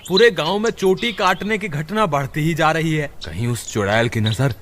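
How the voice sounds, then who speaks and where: A man's voice: fairly high pitch, moderate pace, a bit bright, a little hiss or hum, lightly acted. A man voicing a worried village husband in a cartoon, exclaiming anxiously and dramatically.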